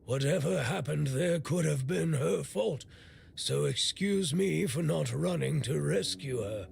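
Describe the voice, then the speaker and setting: An actor playing a bitter, blunt, middle-aged blacksmith in a video game, grumbling and weary with worry. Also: male voice, a little high, expressive, measured pace, creaky, full character voice. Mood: bitter, dismissive. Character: gruff, bitter male blacksmith, worried for a stricken young man.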